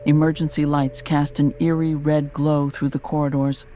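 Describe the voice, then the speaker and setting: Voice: medium pitch, flat delivery, moderate pace, dark tone, soft background music. A narrator reading a scripted sci-fi horror audio drama. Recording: clear background noise.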